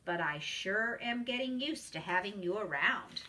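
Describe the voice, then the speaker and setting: A woman's voice: mid-range voice, animated, a little slow, sharp tone, far from the mic, poor audio. A woman voicing a friendly, kind-hearted male pet shop helper, cheerful and reassuring, in a storybook read-aloud. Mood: fond.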